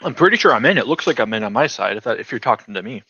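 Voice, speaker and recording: A man chatting as a guest on a livestream from outdoors, relaxed and easygoing. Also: medium pitch, rapid-fire, a little hiss or hum. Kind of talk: casual chat. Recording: dry, dull lo-fi.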